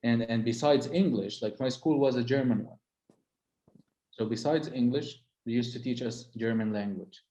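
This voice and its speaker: Soft-toned guide whose native tongue is Arabic. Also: male voice, medium pitch, moderate pace, echoey room, lo-fi sound. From Holy Land. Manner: hesitant, many fillers and restarts. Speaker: tour guide. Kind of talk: casual conversation.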